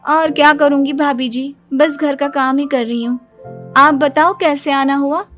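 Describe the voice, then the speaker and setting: A woman's voice: sits high, steady tone, unhurried, a bit bright, faint music behind. A woman voicing a boastful, self-pitying young daughter-in-law in a story, complaining dramatically.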